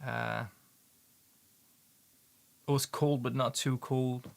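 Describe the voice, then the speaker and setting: A man's voice: medium pitch, steady tone, creaky. A man from the Netherlands with a Dutch accent, chatting casually with viewers on a livestream and often stumbling and repeating words. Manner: halting, repeats words, many ums. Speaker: livestream host, paint maker.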